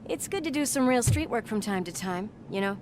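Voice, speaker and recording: An actress playing a practical, loyal female police officer who talks in a brisk, friendly, matter-of-fact way. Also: a little high, lively intonation, noisy background, natural voice. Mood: steady.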